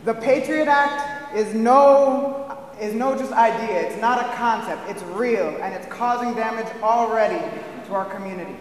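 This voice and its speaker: A man's voice: sits high, unhurried, a little hiss or hum. A man gives an impassioned, rousing public speech as a community activist at a hearing. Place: large public meeting hall. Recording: echoey hall from a distance.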